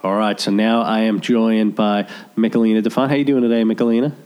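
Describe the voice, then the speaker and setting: Male radio host, lower voice, loose rambling chat. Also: flat delivery, fast, darkish tone, smooth. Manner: rambling, lots of fillers and restarts. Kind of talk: casual chat.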